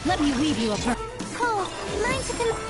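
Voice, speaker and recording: A female fighter from a video game with a very high voice. Her ominous battle lines move in lively swoops, and loud music plays behind her. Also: fairly quick. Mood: commanding.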